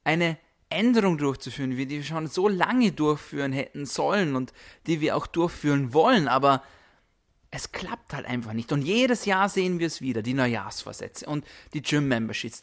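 A man's voice: a little high, expressive, moderate pace. A man delivering a motivational podcast monologue as host, emphatic, with rhetorical repetition. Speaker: podcast host, health coach.

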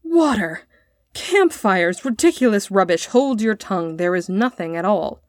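A woman's voice: medium pitch, very animated, normal speed, darkish tone, husky, no room sound, studio quality. Woman playing pompous, snappish grown man. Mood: scornful. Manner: snappish, clipped, haughty. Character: pompous, irritable, cowardly grown man, prim and scornful. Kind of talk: storybook character reading.

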